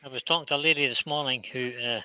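A man with a Scottish accent hosting a live woodturning stream, chatting in a relaxed way as he works in an indoor workshop. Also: mid-range voice, very fast, dry recording, muffled recording. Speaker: woodturner host. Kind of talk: casual chat while demonstrating.

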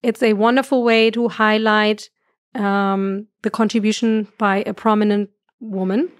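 Podcast guest, German-accented woman, middle-aged, expressive and earnest, dead-dry studio sound. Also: medium pitch, a little slow, no echo. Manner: earnest, gestures a lot, laughs often. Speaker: guest astrophysicist. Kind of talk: podcast conversation. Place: room with curtains. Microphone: studio mic on boom arm.

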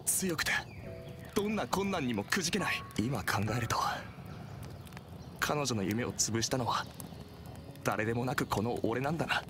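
An actor playing a timid, remorseful young man in a game cutscene, speaking softly and blaming himself. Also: medium pitch, lively intonation, moderate pace, long pauses, noisy background, natural voice. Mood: regretful. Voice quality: soft, self-reproaching. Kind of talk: Japanese game voice acting.

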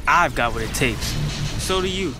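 A man acting an excited, determined young video gamer in a radio ad. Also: a little high, normal speed, over music, close to an everyday voice. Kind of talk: radio public service ad.

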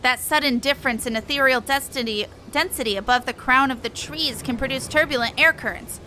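A woman's voice: high voice, brisk, sharp tone, slight background noise, poor audio. A woman voicing an anxious airship expert from a fantasy game, formal, explanatory and urgent. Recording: faint music behind.

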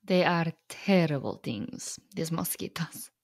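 An actress playing a firm, protective Slovak immigrant wife in a radio play, speaking with a Slovak accent in a measured, pleading, emphatic way. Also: female voice, deep voice, expressive, full character voice. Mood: soft. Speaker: actor.

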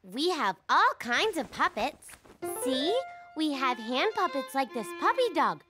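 A woman voicing a cheerful, bossy young girl bunny in a children's cartoon, explaining things patiently and clearly. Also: high-pitched, big pitch swings, a little slow. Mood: cheerful, instructive. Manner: articulate, patient, instructing. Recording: music behind the voice.

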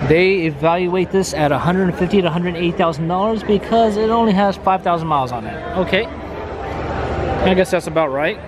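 A man in his thirties hosting a casual vlog at an outdoor car auction, talking excitedly to a handheld camera. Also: high-pitched, normal speed, background noise. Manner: excited, enthusiastic. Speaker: vlog host. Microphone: handheld camera at arm's length. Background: crowd chatter and music.

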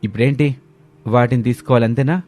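A man's voice: medium pitch, fairly even intonation, fairly quick, faint music behind, lightly acted. A man playing a caring, placating young Telugu husband and dutiful son. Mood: mild, explaining. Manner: gentle, placating.

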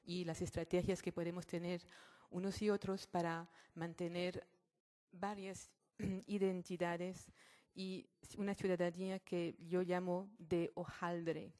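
A woman speaks to a forum audience in a slightly low voice. Her Spanish has a non-native accent. She delivers her lecture haltingly, often pausing to search for the next word. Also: level tone, dark tone. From born in Morocco, French mother, Catalan family. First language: not Spanish; French-schooled. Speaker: guest speaker, sociologist and activist.

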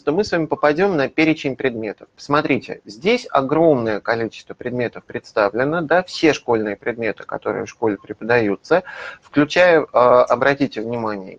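A man in his thirties, a publisher's lead methodologist, explaining a digital library in a webinar, with frequent hesitation fillers, recorded on a webcam in a small office. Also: medium pitch. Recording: slightly dull lo-fi webinar audio.

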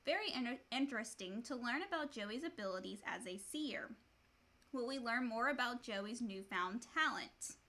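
A woman's voice: fairly high pitch, lively intonation, normal speed, bright, forceful tone. A woman in her thirties co-hosting a casual chat and reading questions aloud. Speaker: co-host reading fan questions. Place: small room indoors, on a couch.

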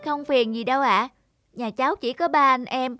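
A woman's voice: sits high, moderate pace, pushed and bright, faint music behind. An actress playing a kind, caring young girl in a cartoon, speaking politely and earnestly. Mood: concerned, kind. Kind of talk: animated moral tale voice acting.

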